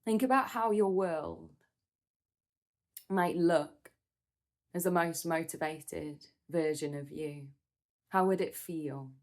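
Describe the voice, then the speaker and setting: A woman leading a guided meditation in a soothing, gentle manner, with measured instructions and pauses. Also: deep voice.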